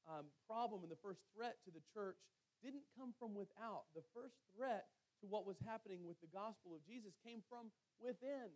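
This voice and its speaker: A man preaching a sermon as storytelling and scripture reading, using emphatic pauses and repetition. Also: high-pitched, a little mellow, rough recording.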